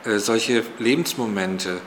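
A man interviewee speaking hesitantly, pausing often with fillers. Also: on the low side, a little hiss or hum, rough recording. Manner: hesitant, halting with many fillers.

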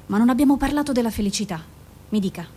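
An actress in a radio drama playing a composed woman therapist who questions persistently in a measured, formal, controlled way. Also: on the high side, animated, dark tone, slightly rough. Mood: firm, controlled. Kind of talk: Italian radio drama acting.

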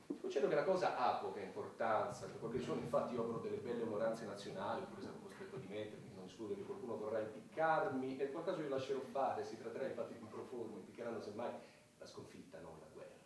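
A man's voice: on the low side, lively intonation, quick pace, natural voice. A man playing a cynical, self-important older president in a radio play, speechifying in a pompous, sardonic way. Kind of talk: theatrical radio drama acting. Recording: very echoey hall, distant mic, music.

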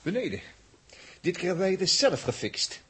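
Wry male crewman, very raspy voice, slightly high pitch, teasing, bossy, vintage radio drama. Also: sing-song, fairly quick, a bit bright, heavily acted. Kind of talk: 1960s Dutch radio drama acting.

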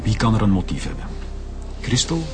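An authoritative Flemish police director, with a lowish voice. He is skeptical and measured in a radio play, music clearly playing underneath. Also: huge pitch swings. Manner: measured, demanding. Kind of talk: radio drama acting.

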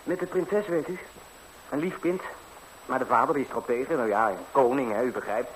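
An actor playing a naive, chatty young lad, a fairy-tale hero who rambles hesitantly and artlessly in a 1960s radio drama. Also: male voice, mid-range voice, fairly quick, natural voice. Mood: hesitant, polite. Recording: faintly noisy 1960s radio recording.

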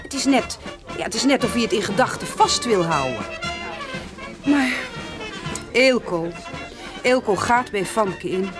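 An actress playing an earnest, weary middle-aged farmer's wife in a radio drama, speaking plainly and a little hesitantly. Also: female voice, a little high, expressive, measured pace, pauses often, music in the background. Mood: earnest, resigned. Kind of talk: naturalistic radio drama acting.

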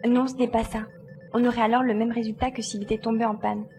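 A woman's voice: on the high side, level tone, fast, warm tone, soft background music, lightly acted. A woman playing an analytical, matter-of-fact adventurer reasoning things out in an audio drama. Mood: puzzled, reasoning. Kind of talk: French audio drama acting.

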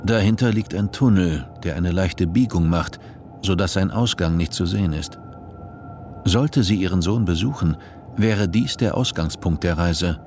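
An actor as the narrator of a dystopian radio play, reading scripted prose in a measured, deliberate way. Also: male voice, deep voice, darkish tone. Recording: noisy vehicle-like ambience behind.

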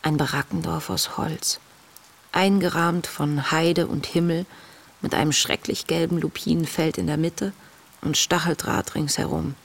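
An actress playing an intense, devout young woman diarist in a radio play, speaking in a hushed, introspective, tender and grieving way. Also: deep voice, steady tone, measured pace, gravelly, slightly rough, heavily acted. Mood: weary, reflective. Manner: hushed, introspective, often near a whisper. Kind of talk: intimate radio play monologue, diary reading.

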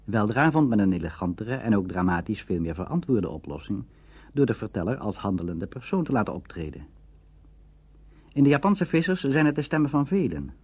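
Male documentary narrator, scripted delivery; lowish lively voice, dry dull recording. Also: slightly rough, no echo.

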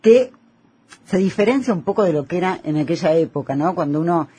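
A man's voice: a little high, animated, brisk, dark tone, a little hiss or hum. A man with an Argentine accent hosting a conversational radio interview. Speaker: radio host.

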